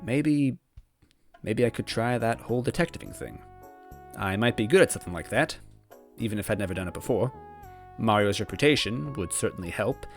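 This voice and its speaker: A man's voice: on the low side, close to an everyday voice. A man playing an anxious, self-doubting young man who narrates his own story in a hesitant, wavering way. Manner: hesitant, wavering, second-guessing. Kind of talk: first-person storybook narration. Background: background music.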